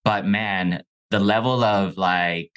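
A young man with a lowish voice, raised in Mexico and a Chinese speaker from birth, talks through his childhood as a podcast guest. He keeps it loose and casual, dropping "bro" and "like" as he goes. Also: fairly even intonation, takes it slowly, a bit bright, smooth. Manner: laid-back, says "bro" and "like" often. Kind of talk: casual interview storytelling.

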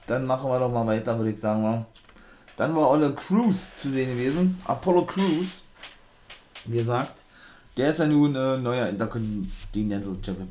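A man talks his way through a weekly wrestling recap. His voice sits at a middle pitch. He rambles, starts sentences over and leans on casual fillers as he goes. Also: a little mellow. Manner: rambling, many fillers and restarts, colloquial. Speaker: wrestling show reviewer. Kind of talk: casual spoken weekly review.

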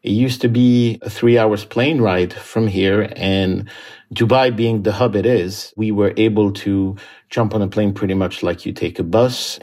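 Low-pitched man, calm and reflective. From Syria and Lebanon. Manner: calm, thoughtful, with frequent "uh" pauses. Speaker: aid worker telling his story. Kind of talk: reflective monologue. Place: quiet home office.

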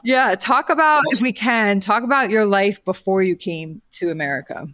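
A woman's voice: mid-range voice, moderate pace, a bit bright, dry recording. A woman hosting an interview, asking questions in an enthusiastic, encouraging manner. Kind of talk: interview conversation.

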